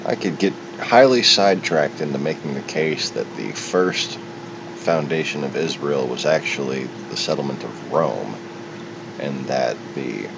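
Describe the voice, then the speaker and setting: A man giving an unscripted, opinionated monologue, speaking in a halting, digressive way as a solo commentator. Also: vocal fry. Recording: muffled, with loud steady rumble.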